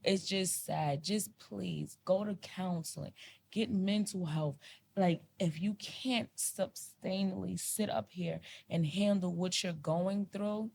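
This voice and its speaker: A woman's voice: lowish voice, slow pace, gravelly, darkish tone, a little hiss or hum. A woman co-hosting a podcast, speaking with passion, repeating herself as she pleads with listeners. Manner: impassioned, repetitive, pleading. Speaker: podcast co-host. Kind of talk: earnest podcast discussion.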